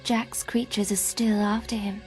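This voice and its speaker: An actress playing a grave young seer in a video game, breathy and hushed, with an intense, foreboding manner. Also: female voice, mid-range voice, little pitch movement, a little slow, soft background music, natural voice. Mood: grave, secretive. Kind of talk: video game cutscene acting.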